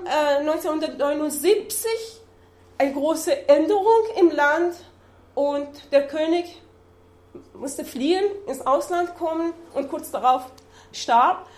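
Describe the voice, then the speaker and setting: A woman from Iran with a Persian accent, giving an adult-education lecture in a halting way with many fillers as she searches for words. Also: sits high, echoey room. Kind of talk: public lecture with map slides. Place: lecture room.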